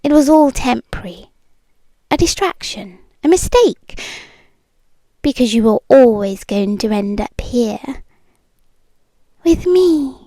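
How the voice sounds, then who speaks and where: A woman with a British accent playing a possessive, obsessive ex-girlfriend in an ASMR roleplay, breathy and often dropping into whispers, intimate and sweetly menacing, close to the microphone. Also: a little high, warm tone, no room sound, full character voice. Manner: slow, intimate, lingering delivery.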